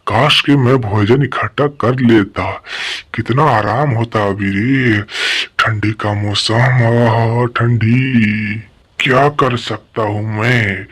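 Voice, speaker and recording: A man's voice: medium pitch, fairly even intonation, slow, fairly bright tone, husky. A man voicing a lazy, hungry male crow who whines and laments in a children's animal cartoon. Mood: grumbling. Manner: plaintive, lamenting. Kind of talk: Hindi children's animated fable dialogue.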